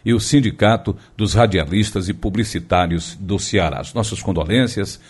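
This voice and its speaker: Husky low male news presenter. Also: normal speed. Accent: Brazilian Portuguese. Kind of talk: news reading.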